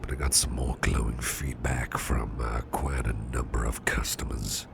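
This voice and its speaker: A man playing a caring, reassuring businessman boss in an ASMR roleplay, murmuring softly and close in a gravelly, half-whispered voice. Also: very deep voice, level tone, noisy background, heavily acted. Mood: soothing, pleased. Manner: slow, hesitant, reassuring, repeats phrases.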